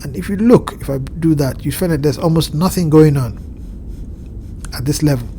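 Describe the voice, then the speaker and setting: A man with a blocked nose and a slightly nasal voice, walking through a chart with measured explanations and frequent pauses to find his words. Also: a little high, warm tone, a little hiss or hum. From Southern Hemisphere. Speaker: crypto market analyst. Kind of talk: screen-share chart walkthrough. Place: home at evening, indoors.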